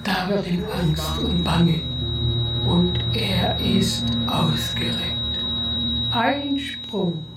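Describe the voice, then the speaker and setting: A woman's voice: fairly low pitch, expressive, extremely slow. A woman reciting a devilish rhymed tale in a storytelling manner for a radio play. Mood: storytelling, eerie. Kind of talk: slow recitation of comic rhymed verse. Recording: very echoey, distant, loud music behind.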